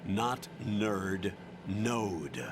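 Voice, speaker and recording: An actor playing a stern older male colonel, giving an authoritative, measured mission briefing in a video game. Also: on the low side, expressive, background noise. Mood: firm, instructive. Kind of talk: video game radio briefing, scripted acting.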